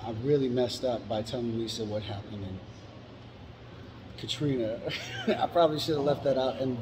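A man from Louisiana filming a casual walk through dark city streets, joking and reassuring the others. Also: medium pitch, moderate pace. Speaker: vlogger filming a night walk. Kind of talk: casual chat while walking. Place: city streets at night, outdoors. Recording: noisy outdoor street recording.

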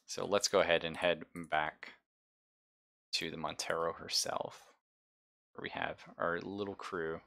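A man's voice: low voice, fairly even intonation, unhurried, gravelly, pauses often, pushed and bright. A man narrating a tabletop roleplaying game as game master in a casual, informal, sweary way. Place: online game session, streamed.

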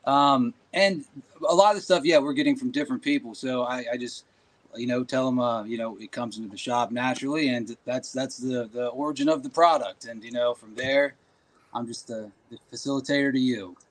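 A man's voice: mid-range voice, fairly quick. A man chatting casually as a panel guest, with a folksy, joking way of talking. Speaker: podcast guest, shop owner. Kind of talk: casual chat.

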